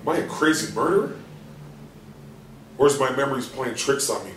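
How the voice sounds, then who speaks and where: A man's voice: medium pitch, lively intonation, a little fast, close to an everyday voice. A man in a staged play reading, playing a despairing, sardonic hospital patient who broods and questions himself in coarse language. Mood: bitter, brooding. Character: bitter, despairing, sardonic male hospital patient trapped in his body. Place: live stage reading in a theatre room. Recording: roomy stage, distant mic, faint noise.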